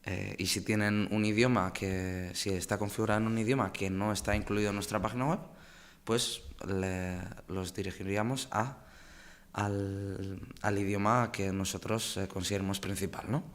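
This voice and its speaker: A man's voice: fairly low pitch, steady tone, moderate pace. A man presenting in a webinar, explaining language settings for websites with many fillers and restarts, over a video call. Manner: frequent fillers and self-corrections. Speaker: webinar presenter on web setup. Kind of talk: hesitant technical explanation.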